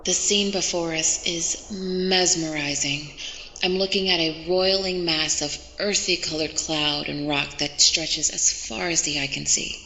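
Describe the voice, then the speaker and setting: An earnest female field reporter aboard a starship, acted by a woman, low in pitch. She speaks her sci-fi log reports in a reverberant space with clear ambient noise. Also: fairly even intonation, a little slow, sharp tone, full character voice. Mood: awed. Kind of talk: sci-fi audio drama, recorded log reports. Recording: echoey hall with noisy ship ambience.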